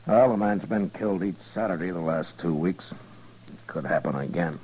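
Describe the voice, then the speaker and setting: Very deep-voiced actor as weary frontier marshal. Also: male voice, a little mellow, natural voice. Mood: dry, commanding. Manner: halting, understated, dry. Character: calm, weary, dogged frontier town marshal. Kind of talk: 1950s radio western acting. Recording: noisy vintage radio drama recording.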